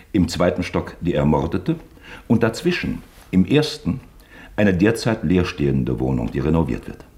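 An actor playing a shrewd, dignified high official in a German radio play, formal, precise and dryly condescending. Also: male voice, warm tone. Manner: formal, precise, pointedly questioning.

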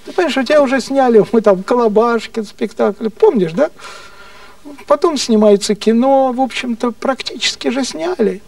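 Мужчина изображает слегка пьяного советского партийного начальника, который покровительственно и насмешливо угрожает, повторяясь и переспрашивая. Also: male voice, extremely high pitch, normal speed, creaky, a little mellow, no room sound, distant mic. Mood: mocking reproach. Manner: patronizing, repetitive, insinuating questions. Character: menacing, mocking Soviet party official, slightly drunk.

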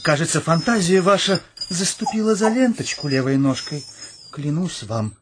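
An actor playing a fawning, eager flatterer in a comic radio play, gushing and ingratiating, with noise behind him. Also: male voice, a little high, normal speed, close to an everyday voice. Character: fawning, eager, sugary flatterer courting favor. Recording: clear background noise.

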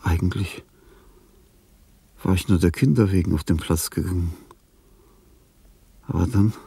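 An actor playing a lonely, dying, famous old composer in a radio drama, reflective and pompous, with a husky, gravelly voice. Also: male voice, deep voice, steady tone, warm tone, heavily acted. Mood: moved, reflective. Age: older. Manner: declamatory, pompous, pausing, emphatic.

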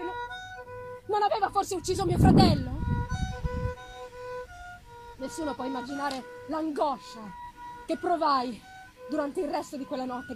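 Very high-pitched, anguished gothic narrator read by a woman. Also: low-quality recording. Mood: anguished, horrified, then tormented, brooding. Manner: halting, emphatic, repeating words for dramatic effect. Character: anguished young male scientist haunted by his murderous creation. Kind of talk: dramatic live literary reading, gothic monologue.

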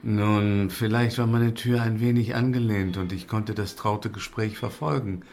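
A man's voice: on the low side, steady tone, a little slow, a little mellow. An actor playing an unsettling pious male lodger in a German radio play, with a formal, insinuating, theatrical manner. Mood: sly, probing.

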